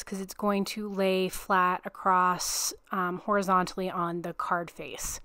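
A woman calmly narrating a craft tutorial at an unhurried pace. Her voice is smooth and clean, and it sits close and dry with no room sound. She keeps it casual and chatty, poking fun at herself now and then. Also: medium pitch, no echo. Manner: relaxed, chatty, self-deprecating. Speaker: card-making tutorial host.

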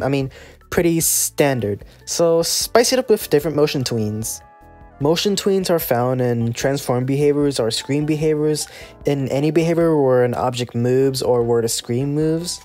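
A casual, playful host narrating a scripted software tutorial. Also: medium pitch.

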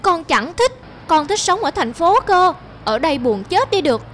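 A woman's voice: extremely high pitch, very animated, moderate pace, fairly bright tone, slight background noise, exaggerated voice. A woman voicing a spoiled, sulky young city boy in a cartoon, whiny and disdainful. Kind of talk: cartoon voice acting.

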